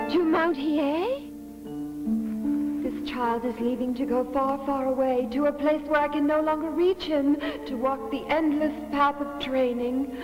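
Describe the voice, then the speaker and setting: A woman playing a sorrowful, loving mother in an animated film, plaintive and emotional. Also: high-pitched, slow pace, pauses often. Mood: shocked. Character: sorrowful, loving mother parting from her child. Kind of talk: animated film melodrama dub. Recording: loud music under the voice. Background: loud music.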